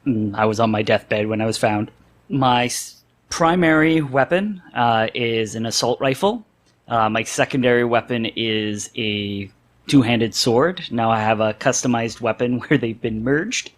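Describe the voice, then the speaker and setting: A man's voice: medium pitch, measured pace. Casual, joking man in a game chat. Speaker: tabletop game player on a podcast.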